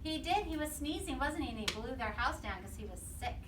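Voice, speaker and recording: A woman's voice: high voice, lively intonation, fast talker. A woman teacher leading an interactive storybook read-aloud with young children, asking questions and repeating answers. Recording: roomy, distant mic with faint noise.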